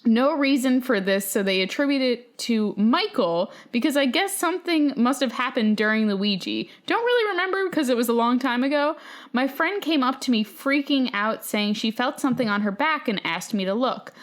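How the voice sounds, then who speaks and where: A woman's voice: sits high, animated, normal speed. A woman co-hosting a podcast, chatting casually and reading emails aloud in an informal, slangy way. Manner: informal, slangy, peppers talk with "like". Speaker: podcast co-host.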